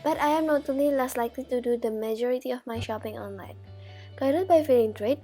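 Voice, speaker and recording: A woman's voice: fairly high pitch, warm tone. Young woman student from Indonesia, plain careful journal read, faint music bed. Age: young adult. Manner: plain, careful reading with a few slips of grammar. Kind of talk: read-aloud video journal narration.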